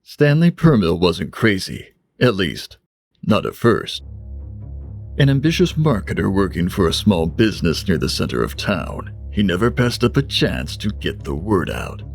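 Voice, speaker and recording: Eerie anthology narrator: low, widely swooping delivery, theatrical, portentous, sly wit. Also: male voice, big pitch swings, unhurried, gravelly, faint music behind, exaggerated voice. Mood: wry, dramatic. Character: ominous, wry male narrator of an uncanny anthology tale. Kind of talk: scripted narration in vintage eerie TV anthology manner.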